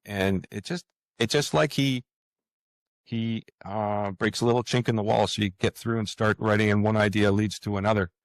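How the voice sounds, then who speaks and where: A man's voice: on the low side, steady tone, normal speed, dry recording. A man speaking reflectively as an interview guest, earnest and hesitant, often repeating words and phrases. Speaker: author, podcast guest.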